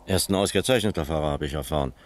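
An actor playing a composed, probing police captain, courteous and measured, in a German radio crime drama. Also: male voice, extremely low pitch.

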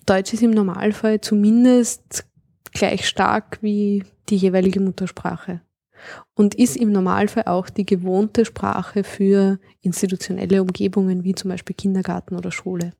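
Linguist guest, female, mellow warm tone, dry close sound, measured explainer. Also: medium pitch, flat delivery, gravelly, no room sound. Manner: thoughtful, explanatory. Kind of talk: conversational interview.

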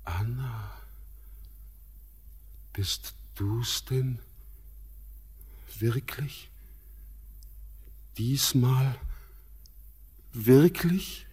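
An actor playing a delirious, yearning young man in a classical radio drama, with halting, declamatory delivery. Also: medium pitch, a little mellow. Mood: weary, yearning. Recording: long hall reverb, music behind.

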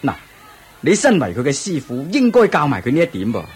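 A proud, doting king of ancient times acted by a man for a Cantonese radio drama. His voice is very husky and moves widely in pitch. He speaks with regal, theatrical weight and a Hong Kong accent. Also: wildly swooping pitch, brisk, heavily acted. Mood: questioning, uneasy.

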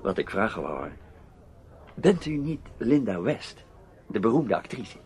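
Low, swooping, gallant newspaperman. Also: big pitch swings, measured pace, darkish tone. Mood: curious, probing. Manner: jovial, chivalrous, theatrical. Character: gallant, self-assured male newspaper foreign correspondent. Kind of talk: Dutch radio play acting.

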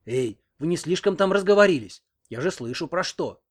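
A man voicing a sharp-tongued, nosy old village grandmother in an audiobook, scolding and indignant. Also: a little high, animated, moderate pace, slightly rough, voice pushed up. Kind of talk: audiobook character reading.

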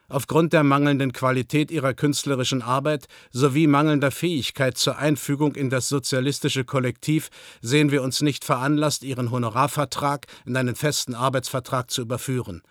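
A stern East German theatre boss played by an actor delivers an official letter in a formal, officious manner. The voice is a mid-pitched man's, recorded dry and close, as in a radio play. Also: dry recording, close to an everyday voice. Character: stern, bureaucratic East German male theatre director issuing a dismissal. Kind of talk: radio drama, official letter read aloud.

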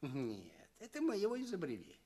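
An actor playing a breezy, matter-of-fact alien hospital orderly in an audio play. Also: male voice, fairly high pitch, wildly swooping pitch, moderate pace, dark tone. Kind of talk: theatrical audio play dialogue.

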